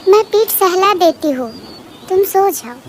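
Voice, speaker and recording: A man voicing a village mother and her young daughters in a shrill, childlike cartoon story voice. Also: expressive, a little slow. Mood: gentle, domestic. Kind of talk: cartoon horror story dialogue. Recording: clear background noise behind.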